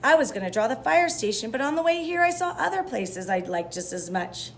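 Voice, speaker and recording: An earnest, childlike young boy from a storybook, as a woman reader acts him out. The voice is high and bouncy in pitch, full of indecision. Some noise sits behind it. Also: very animated, fairly quick. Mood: torn, uncertain.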